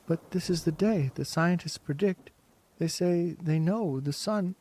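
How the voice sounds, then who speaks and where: A man's voice: on the high side, a little slow, darkish tone, slightly rough, voice pushed up. A man voicing a frail, withdrawn schoolgirl, earnest and helpless, in an audiobook story. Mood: pleading.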